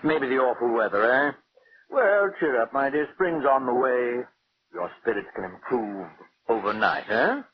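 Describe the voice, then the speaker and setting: A man's voice: mid-range voice, lively intonation, unhurried, husky. An actor playing an urbane, sardonic husband who mocks his wife in genteel, theatrical tones, in 1940s radio drama style. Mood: jovial, musing. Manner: theatrical, mocking, genteel exclamations. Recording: dull, hissy 1940s radio recording.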